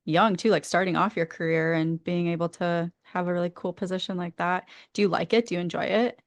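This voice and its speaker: Excited woman podcast host, giggly and slangy, super dry close sound. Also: lowish voice, a little fast, dry recording. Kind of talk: casual interview chat.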